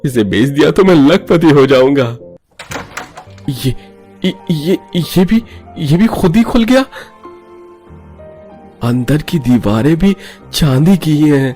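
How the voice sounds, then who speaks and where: A man's voice: fairly high pitch, animated, slow pace, long pauses, dark tone. A man voicing a nervous, greedy man in a cartoon horror story, stammering and muttering to himself. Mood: awed, greedy. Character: nervous, greedy man sneaking into a haunted palace. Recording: music behind the voice.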